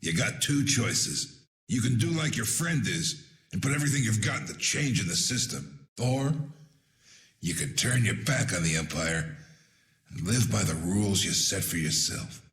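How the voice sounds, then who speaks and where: An actor playing a gruff, shrewd old guild leader in a video game, gravelly and blunt, with a folksy turn of phrase. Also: male voice, lowish voice, expressive, normal speed, fairly bright tone, a little hiss or hum. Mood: blunt, commanding. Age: older. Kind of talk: dramatic video game voice acting.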